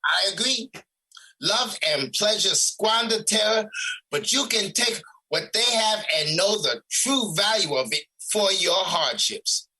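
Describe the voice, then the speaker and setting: A man playing Resentment as a personified emotion in an audio drama, scheming and manipulative, with a husky, insinuating, theatrical delivery. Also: high voice, animated, slow pace, bright, forceful tone, exaggerated voice, poor audio. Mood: seductive urging.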